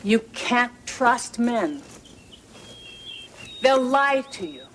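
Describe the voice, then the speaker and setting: A possessive witch mother from a fairy tale drama, pitched fairly high and swinging widely. She coos and controls with theatrical relish, over clear background noise. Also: big pitch swings, extremely slow, fairly bright tone, full character voice. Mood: ominous, instructive. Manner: cooing, controlling, theatrical delivery. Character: possessive, cloying centuries-old witch mother.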